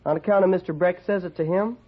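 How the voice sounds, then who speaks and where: A man's voice: sits high, fairly even intonation, fast talker. An actor playing an earnest, plain-spoken country boy with a rural American accent in an old-time radio western, simple and folksy. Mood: matter-of-fact. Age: child character. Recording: muffled vintage radio recording.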